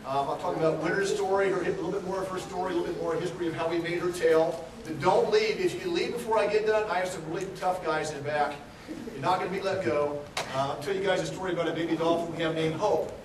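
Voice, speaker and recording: A man's voice: sits high, quick pace. A man from Texas giving a casual, joking talk to a crowd as an aquarium chief, often asking the audience questions. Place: large indoor room with an audience. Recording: echoey hall, mic from far off.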